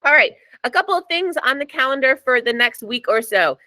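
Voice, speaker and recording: Raspy-voiced woman hosting a meeting. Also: high-pitched, animated, a little fast, bright, forceful tone, no room sound. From New Jersey. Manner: chatty, upbeat, frequent ums. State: raspy this morning. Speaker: sales meeting host. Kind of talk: casual meeting announcements.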